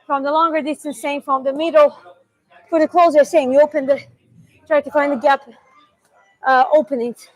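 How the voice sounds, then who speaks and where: A woman's voice: high voice, steady tone, normal speed, long pauses, rough recording. A young woman with a Georgian accent, chatting loosely on a live stream, answering viewer questions and talking through moves with restarts. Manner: chatty, loose, with sound-effect "bum"s and restarts. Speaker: martial arts streamer. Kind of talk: live-stream Q&A and technique demo.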